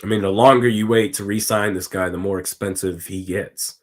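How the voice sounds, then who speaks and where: A man with a slightly raspy, hoarse voice, giving casual live commentary on a football game and reading out viewer comments. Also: low voice, fairly even intonation, moderate pace. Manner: casual, talks to chat, reads comments aloud. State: hoarse from screaming. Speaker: watch party streamer.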